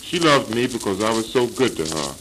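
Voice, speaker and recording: A hapless, henpecked husband played by a man lectures with mock-earnest theatrical delivery in an old-time comic monologue. The voice is fairly low, under the clear crackle and hiss of a 1930s 78 rpm record. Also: very animated, a little fast. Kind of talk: early 20th century comic monologue.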